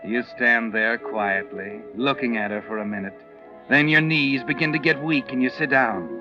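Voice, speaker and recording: A man acts as a brooding, all-knowing narrator of a 1940s radio mystery, mid-pitched and ominous. He taunts the accused directly. The vintage recording is muffled, with loud music playing behind him. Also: lightly acted. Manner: brooding, knowing, second-person telling.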